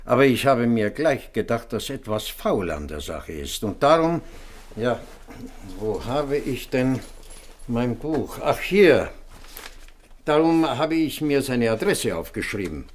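Small-shop tradesman, middle register, obliging yet sly, halting courtesy, for a radio detective drama. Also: medium pitch, lively intonation, a little hiss or hum. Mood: helpful, confiding. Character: male barrel-organ shopkeeper, courteous, wry, slightly crafty tradesman. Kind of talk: German radio crime drama dialogue.

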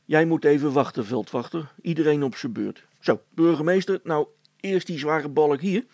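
Guy playing a bossy practical dad in an audio story, bit raspy, dry close recording, short temper. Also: a little high, moderate pace, a little mellow, no echo, pitched above the performer's own voice. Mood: directing, brisk. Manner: plain-spoken, urgent, directing others. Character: Brilstra, practical, irritable, take-charge father, adult man.

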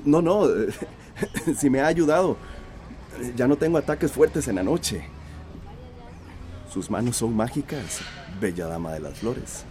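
Coughing, courtly Central American villager played by an actor. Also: male voice, mid-range voice, animated, unhurried, long pauses, dark tone, slight background noise, lightly acted. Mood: gallant. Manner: courtly, folksy. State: coughing, chesty. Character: Pedro, courtly hardworking villager with a nagging cough. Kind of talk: radio drama acting.

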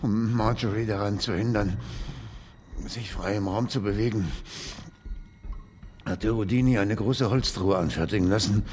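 An actor playing an indignant, defensive gentleman who believes in spiritualism, halting and aggrieved, in a radio drama. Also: fairly low pitch, a little hiss or hum, close to an everyday voice. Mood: indignant recollection.

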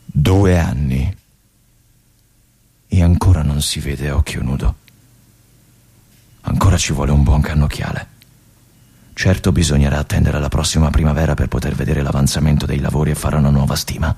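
An actor playing a lonely, obsessive army lieutenant in a stage monologue, musing aloud and swinging between brooding and feverish. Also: male voice, very deep voice, flat delivery, normal speed, creaky, pauses often, warm tone, husky, heavily acted. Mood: calculating. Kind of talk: theatrical monologue for radio.

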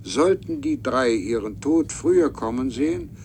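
An actor playing a solemn, formal official reading a letter deliberately in a German radio play. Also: male voice, medium pitch, expressive, heavy background noise, close to an everyday voice. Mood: solemn, measured.

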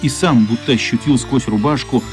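Man narrating a radio drama over soft music. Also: medium pitch, normal speed.

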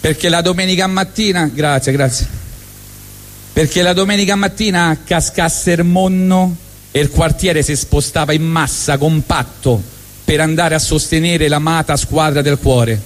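A man's voice: on the high side, normal speed, in a large hall. Roman man telling a comic monologue on stage. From Rome, Italy. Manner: wry, deadpan Roman storytelling with acted asides. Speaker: monologue performer. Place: stage at a live benefit event.

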